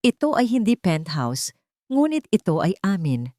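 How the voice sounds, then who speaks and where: A woman's voice: medium pitch, big pitch swings, normal speed, a little mellow, no room sound, studio quality. A woman narrating a scripted first-person story for an audio drama.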